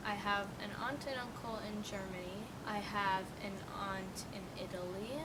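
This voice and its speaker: A woman's voice: medium pitch, steady tone, moderate pace. A young American woman answering interview questions casually, rambling with lots of "like" fillers. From United States. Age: young adult. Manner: rambling, heavy use of "like" fillers. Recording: distant mic with loud traffic noise.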